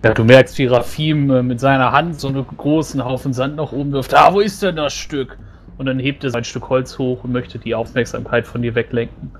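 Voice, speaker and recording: A man of medium pitch narrates his character casually as a tabletop player. There is faint noise in the background. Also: steady tone, fairly quick. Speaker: tabletop role-playing player.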